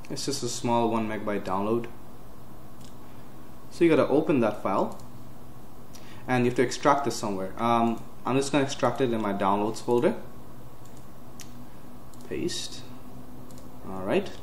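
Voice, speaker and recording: A young man giving a casual step-by-step computer tutorial, with frequent uhs and quick self-corrections. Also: mid-range voice, expressive. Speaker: tech tutorial host. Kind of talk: screen-recorded software walkthrough. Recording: roomy with faint hiss.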